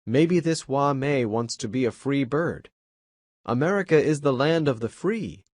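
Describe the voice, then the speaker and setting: A man's voice: medium pitch, lively intonation, a little slow, darkish tone, no echo, studio quality. A man voicing a stubborn, dignified old grandfather in a storybook reading. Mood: resolute.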